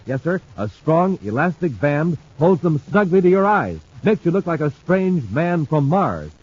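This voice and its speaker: A male announcer from a 1950s radio serial, booming and showman-like. His pitch sits high and swings widely. The old recording is muffled with a faint hiss. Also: big pitch swings, a little slow, darkish tone, slightly rough.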